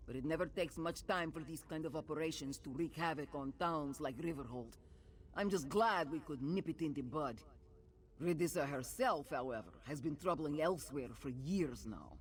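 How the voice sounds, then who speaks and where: An actor playing a dutiful guard captain in a fantasy game, stern, measured and formal. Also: male voice, a little high, lightly acted. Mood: satisfied.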